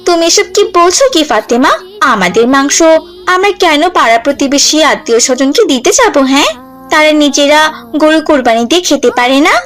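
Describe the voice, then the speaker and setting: A woman acts a spoiled young girl from a rich family in a cartoon. Her voice is high, and she talks in a snobbish, bragging and dismissive way. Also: animated, moderate pace, fairly bright tone. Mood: scornful. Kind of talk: dubbed children's moral cartoon.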